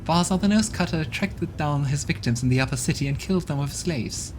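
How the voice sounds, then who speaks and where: A man's voice: fairly high pitch, brisk, pitched above the performer's own voice, lightly acted. A man voicing a stern woman who judges a demon court, with music in the background. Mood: proclaiming.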